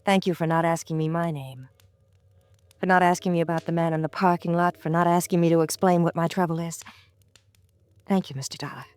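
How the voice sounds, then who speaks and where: An actress playing a troubled, guarded and politely grateful woman in old-time radio drama style, speaking haltingly. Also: lowish voice, fairly even intonation, a little mellow, clear, resonant tone, no echo, lightly acted. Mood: subdued gratitude. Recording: old radio recording, faint noise.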